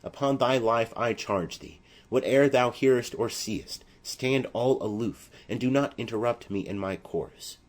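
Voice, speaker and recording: A man playing a desperate, savage young exiled lover in a tragedy audiobook, declaiming gravely and with menace. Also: mid-range voice, a little slow, few pauses, natural voice. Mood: urgent, threatening. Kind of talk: classical tragedy audiobook acting.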